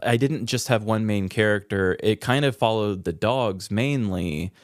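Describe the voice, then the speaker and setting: A man's voice: fairly low pitch, measured pace, no echo, clean studio sound. A man co-hosting a podcast in casual, candid chat, rambling a little and swearing freely. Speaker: podcast co-host and story writer.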